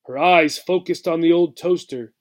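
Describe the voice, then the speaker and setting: A man reading a children's book aloud expressively, as a teacher would, pausing to explain. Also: on the high side. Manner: explanatory, checks in with "right".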